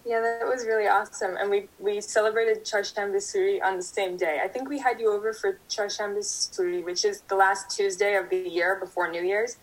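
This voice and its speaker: A young woman from Canada, a guest answering interview questions in a steady, thoughtful way. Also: medium pitch, a little fast, few pauses, poor audio. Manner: thoughtful, steady, speaks in full sentences.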